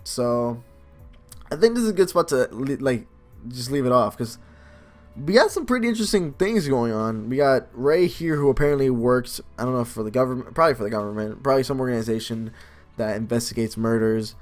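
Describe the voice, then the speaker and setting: A man's voice: medium pitch, expressive, fairly quick. A man doing a casual let's play of a game, moving between commentary and reading aloud. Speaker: game video creator. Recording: music behind the voice. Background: game music.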